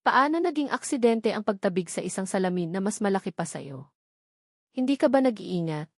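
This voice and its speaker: A woman's voice: mid-range voice, expressive, fairly quick, a little mellow, no room sound. Cold male janitor played by a woman narrator, accusing, blunt, storybook drama, dry recording. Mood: scornful. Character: cold, stern, scornful young male janitor.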